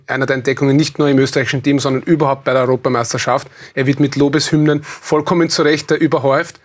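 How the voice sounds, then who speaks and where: Male athlete interviewee, Austrian accent, mid-range voice, keen and sincere, TV studio talk. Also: mid-range voice. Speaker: athlete interview guest, handball goalkeeper.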